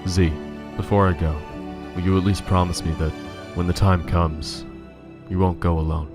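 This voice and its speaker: A man playing a brooding, protective playboy detective in an audio drama, speaking in a grave, measured way. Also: low-pitched, level tone, over music.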